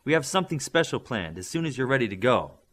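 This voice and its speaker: A man's voice: medium pitch, sing-song, fast, a bit bright. A man reading an audiobook, voicing an eager young man showing friends his city.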